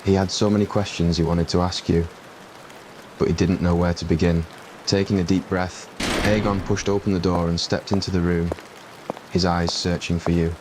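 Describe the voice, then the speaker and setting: Very low, even-toned male story narrator. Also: normal speed. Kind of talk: scripted story narration, ASMR roleplay. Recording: clear rain noise behind voice. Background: rain ambience.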